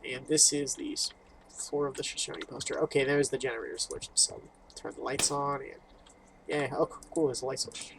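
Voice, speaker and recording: A man's voice: mid-range voice, fairly even intonation, moderate pace, gravelly, background noise. A man hosting a gaming video, casually reading game text aloud and reacting with informal, muttered asides. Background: game music.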